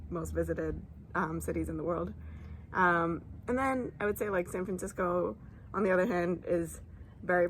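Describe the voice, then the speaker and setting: A young woman in her late twenties, talking casually and loosely with lots of filler words and quick laughs. Her voice sits a little low for a woman. A faint hiss of noise runs underneath. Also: low-quality recording. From Dubai. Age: 20s. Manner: chatty, many filler likes and ums, laughs easily. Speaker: interviewee. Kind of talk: casual conversation. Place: lives in San Francisco.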